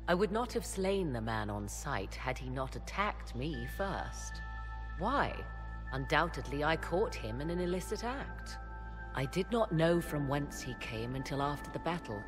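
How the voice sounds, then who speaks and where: A haughty mysterious court sorceress, fantasy game, slow sly pauses, old-timey wording, music behind it. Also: female voice, low-pitched, lively intonation. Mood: grave, measured. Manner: theatrical, archaic, measured diction with sly pauses. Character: enigmatic, haughty sorceress, arcane advisor at court. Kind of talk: fantasy game cutscene acting.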